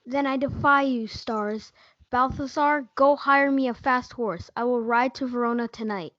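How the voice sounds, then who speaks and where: Casting: female student as defiant, grieving young male lover, high voice, school stage reading, dull lo-fi sound. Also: measured pace, a bit bright, no room sound. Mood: defiant grief. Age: young teen or student. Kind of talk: school reader's theatre performance. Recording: dull lo-fi audio.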